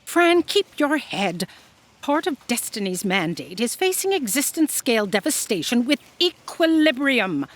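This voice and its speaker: A woman playing a bossy, commanding female editor in a sci-fi comedy audio drama, with formal, crisp authority. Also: a little high, very animated, unhurried. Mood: stern.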